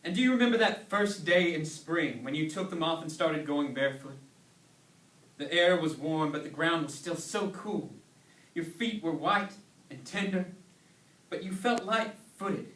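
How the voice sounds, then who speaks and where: A man's voice: fairly high pitch, measured pace, a bit bright. A man giving a dramatic staged reading of a sermon, telling it like a story in pointed, emphatic phrases. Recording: echoey hall, recorded from far off.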